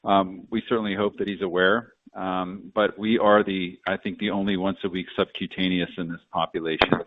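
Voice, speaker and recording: Male medical officer, deep register, off-the-cuff conference answer, telephone-band audio. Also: level tone, moderate pace, a little hiss or hum. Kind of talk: unscripted conference call answer. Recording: narrow phone line.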